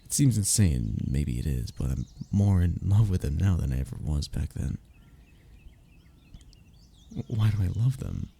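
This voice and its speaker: A young man playing a wistful, lovestruck childhood best friend in an intimate audio roleplay, speaking in a halting, sighing, confessional way. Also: deep voice, expressive, creaky, dark tone, husky, full character voice. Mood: tender, lovestruck. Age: young adult.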